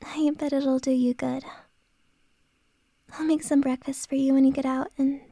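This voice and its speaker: A woman playing a flustered boss who confesses her feelings to an employee in an ASMR roleplay. She speaks in a soft, hesitant whisper, close to the mic. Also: high-pitched, little pitch movement, normal speed, no room sound. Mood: tender, flustered.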